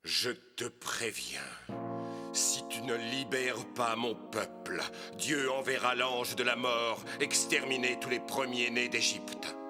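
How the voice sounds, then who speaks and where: A man voicing a resolute, solemn prophet in an animated film, speaking gravely and earnestly for his people. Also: mid-range voice, slow pace, over music, lightly acted. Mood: grave warning.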